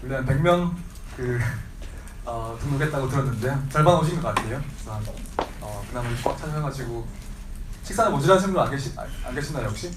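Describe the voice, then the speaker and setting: A man giving a lecture on his book to a seated audience, with a sore throat from a cold, speaking haltingly with many fillers and restarts and clearing his throat often. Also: medium pitch, expressive. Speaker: lecturer and author. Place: seminar room with audience. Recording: echoey room, voice from a distance, faint hiss.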